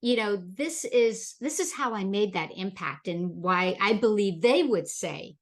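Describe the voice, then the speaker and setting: Woman from the bahamas hosting a leadership chat, encouraging, circles back on her words, middle pitch. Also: expressive, measured pace. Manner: encouraging, repeats words while building questions. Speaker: podcast host. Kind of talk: interview chat.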